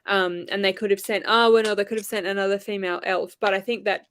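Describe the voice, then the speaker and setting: A woman's voice: medium pitch, fairly even intonation, fast, a bit bright. A woman discussing books casually as a guest, reflective and often correcting herself as she goes. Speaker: guest panelist.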